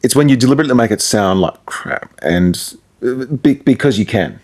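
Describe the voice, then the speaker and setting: A man's voice: medium pitch, lively intonation, a little fast, a little mellow. A middle-aged man with an Australian accent, a radio presenter talking casually in an interview and thinking aloud as he goes. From Perth, Australia.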